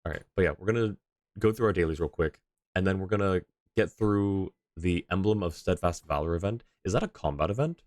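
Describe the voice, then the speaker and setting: A man's voice: sits low, fairly quick, a little mellow, dry recording. A man streaming a game live, chatting casually and rambling as he thinks aloud. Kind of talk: casual livestream chat.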